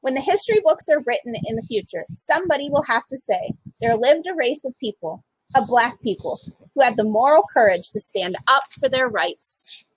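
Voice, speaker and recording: A woman's voice: fairly high pitch, expressive, moderate pace, slightly rough, no room sound, low-quality recording. A woman voicing an earnest, steadfast male civil rights preacher giving a speech in a storybook. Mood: resolute.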